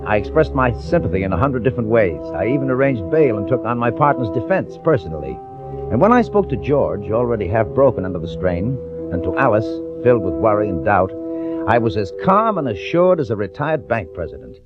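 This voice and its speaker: Smooth male lawyer, falsely loyal, reassuring and lively in pitch, dull vintage radio sound with music. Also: a little fast. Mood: cool, confiding. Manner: calm, reassuring, self-assured. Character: smooth, two-faced male lawyer posing as loyal friend. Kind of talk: 1940s radio acting with first-person narration.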